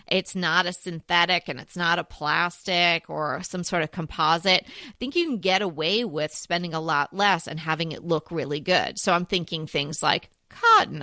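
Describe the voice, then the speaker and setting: A woman's voice: fairly low pitch, bright, forceful tone, no echo, studio quality. A woman co-hosting a casual home decor chat, describing items in a chatty, enthusiastic way. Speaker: co-host.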